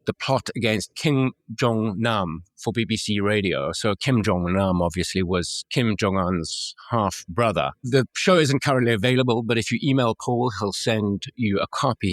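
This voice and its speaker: A middle-aged man hosts an interview podcast in a measured, articulate way. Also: lowish voice, no echo. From South Africa. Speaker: podcast host.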